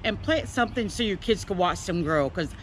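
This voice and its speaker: A woman's voice: lowish voice, animated, a little fast. An older woman with an American accent chatting casually to camera, playful and self-deprecating. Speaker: vlogger showing her home garden. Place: outdoors in a fenced backyard. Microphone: handheld camera at arm's length. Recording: clearly noisy outdoor ambience.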